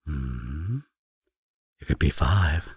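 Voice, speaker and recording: A man's voice: a bass voice, lively intonation, drawn-out words, creaky, breathy edge, no room sound, studio quality, full character voice. A man playing a teasing, flirtatious villain and doting father in an intimate romance audio roleplay. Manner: playful, smug, sarcastic teasing.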